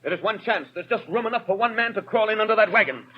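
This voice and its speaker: A man's voice: sits high, fast talker, natural voice. Stern police inspector played by a man, muffled old radio. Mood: urgent, commanding. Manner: formal, commanding, clipped. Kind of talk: 1930s radio drama acting. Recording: muffled 1930s radio broadcast, crowd noise.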